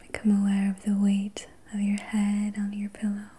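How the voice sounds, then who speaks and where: A woman whispering softly and breathily as an ASMR host, guiding relaxation in a soothing, gentle, unhurried manner. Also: mid-range voice, monotone, breathy edge. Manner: soothing, slow, gentle personal attention. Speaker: ASMR host and reiki practitioner.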